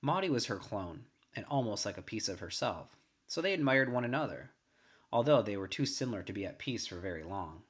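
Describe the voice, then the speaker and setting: A man with a medium-pitched voice reads a first-person short story aloud as scripted narration. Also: sing-song, brisk. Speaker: story reader.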